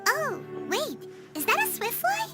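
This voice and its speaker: A woman voicing a tiny, childlike fairy companion in a video game, squeaky, excitable and blunt. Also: a little slow, over music, full character voice. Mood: indignant, excited. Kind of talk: scripted video game character acting.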